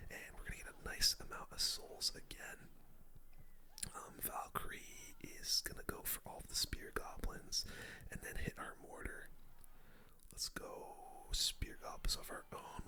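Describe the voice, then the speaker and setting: A person whispering casual, slangy play-by-play as an ASMR gaming host, in a breathy unvoiced whisper close to the microphone. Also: very high voice, pushed and bright. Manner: casual slangy play-by-play, muttering through moves.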